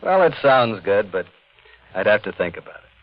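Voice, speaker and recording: A man's voice: on the low side, very animated, moderate pace. An actor playing a skeptical, noncommittal man of money in a 1950s radio drama. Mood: doubtful. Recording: muffled 1950 radio broadcast.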